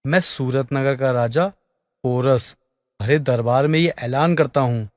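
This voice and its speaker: A man's voice: medium pitch, unhurried, a little mellow, no room sound, studio quality, long reverb tail, close to an everyday voice. A man playing a regal, commanding king in a fairy tale, speaking in formal proclamation.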